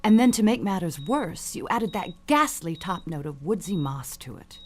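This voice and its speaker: A woman's voice: lowish voice, big pitch swings, normal speed, a little hiss or hum. An actress playing an elderly, dying perfume mogul, an imperious and cutting mother with genteel, falsely sweet manners. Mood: scornful. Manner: genteel, commanding, condescending endearments. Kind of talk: audio suspense drama acting.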